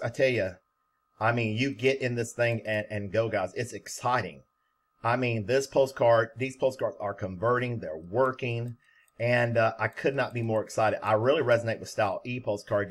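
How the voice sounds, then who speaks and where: A middle-aged man giving an emphatic, repetitive promotional pitch to viewers as he presents a home business. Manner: emphatic, repetitive salesman delivery.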